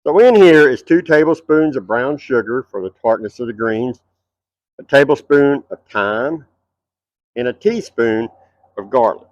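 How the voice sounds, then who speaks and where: A man's voice: mid-range voice, lively intonation, measured pace, no room sound, lo-fi sound. A middle-aged man with a Texas twang, hosting a cooking show at an outdoor backyard table and chatting straight to his viewers.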